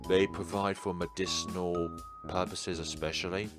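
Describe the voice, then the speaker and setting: A man's voice: low-pitched, little pitch movement, normal speed, lightly acted. A man voicing a polite, helpful city guide in a fantasy visual novel, explaining things courteously. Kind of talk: read-aloud visual novel dialogue. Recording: background music under voice.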